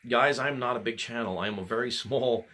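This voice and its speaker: A man speaking casually to his viewers, earnest and a little halting, his voice altered from being sick. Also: medium pitch, bright, forceful tone. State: recovering from illness, voice altered. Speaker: channel host. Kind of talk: casual video address.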